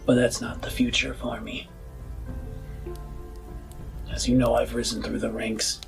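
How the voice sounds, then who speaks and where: A man playing a solemn, earnest politician burned and bound to a chair, with a damaged, breathy rasp and formal, measured speech. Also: mid-range voice, monotone, music in the background. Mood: sorrowful, wistful. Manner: slow, measured, formal oratory.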